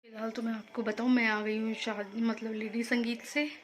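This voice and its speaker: A woman vlogging about her day in a casual, rambling way, a little hoarse from being unwell. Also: on the high side, flat delivery, moderate pace. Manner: chatty, rambling, explains herself to viewers. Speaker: vlogger housewife. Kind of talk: casual daily vlog chat.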